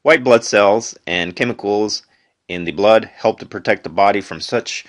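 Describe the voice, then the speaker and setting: Low-pitched man reading a textbook aloud. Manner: deliberate phrasing, reads headings aloud, stumbles and corrects himself. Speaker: amateur reader of a textbook. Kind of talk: unedited educational read-aloud.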